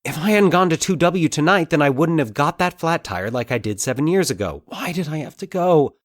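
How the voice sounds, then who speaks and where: A man voicing an anxious, excitable male character thinking aloud in halting, agitated bursts in an audio drama. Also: a little high, dry recording, studio quality, voice pushed up, close to an everyday voice. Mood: distressed, determined. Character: Mike, anxious excitable man puzzling out eerie coincidences. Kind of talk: dramatic audio fiction monologue.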